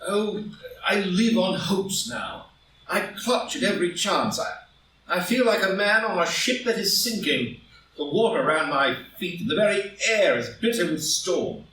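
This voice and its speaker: A man's voice: high-pitched, animated, measured pace, bright, forceful tone, full character voice. A man playing a proud, anxious married statesman who fears scandal, earnest and melodramatic, in a stage drama reading. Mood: desperate. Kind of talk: live read-through of a stage play as audio drama. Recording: very echoey room, distant mic, faint noise.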